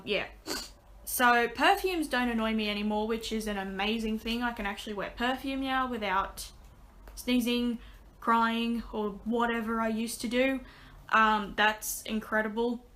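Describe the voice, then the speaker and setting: A young woman speaks into a desk webcam in a small bedroom, rambling through a casual update. Her voice sits a little high and sounds bright and forward, with a touch of rasp. She trails into ums and restarts her sentences often. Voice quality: slightly raspy. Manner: chatty, many ums and restarts. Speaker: vlogger.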